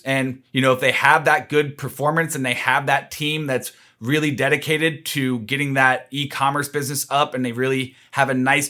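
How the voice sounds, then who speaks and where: A man's voice: on the high side, pushed and bright. A man giving informal market commentary, explaining his opinions in a conversational, analytical way.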